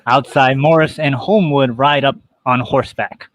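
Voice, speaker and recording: A man's voice: mid-range voice, normal speed, a bit bright, no room sound. A man reading stage directions and narrating an amateur radio play on a podcast. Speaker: host and narrator of a table read. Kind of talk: casual scripted narration.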